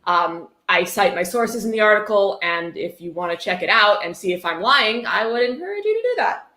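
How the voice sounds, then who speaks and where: Sardonic woman in an echoey room, bright voice. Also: mid-range voice, expressive, moderate pace. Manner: sarcastic, wry asides. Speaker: host reading a book aloud with commentary. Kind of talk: casual livestream dramatic reading with commentary. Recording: roomy, echoey sound.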